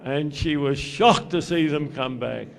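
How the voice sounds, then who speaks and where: An older Australian man preaching a story in short phrases, pausing for an interpreter. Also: on the high side, creaky. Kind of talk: sermon delivered through an interpreter.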